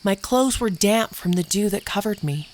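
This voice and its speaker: A woman playing a wounded preteen camp girl, narrating a horror story in the first person in a deliberate, detached, dramatic way. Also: medium pitch, animated, darkish tone, a little hiss or hum, natural voice. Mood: confused, pained. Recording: music behind the voice.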